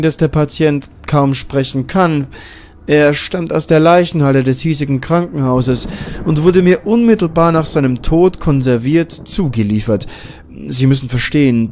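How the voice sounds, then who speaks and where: A man voicing a smug, formal male scientist in a castle in a self-made audiobook, speaking in a measured, condescending way. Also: a little high, a little hiss or hum, natural voice. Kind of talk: audiobook character voice.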